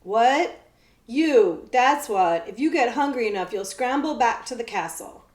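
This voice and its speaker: A woman voicing a blunt, street-wise poor boy with rough old-fashioned speech in a children's story. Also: on the high side, animated, measured pace, reverberant. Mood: gruff. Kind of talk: children's book read-aloud.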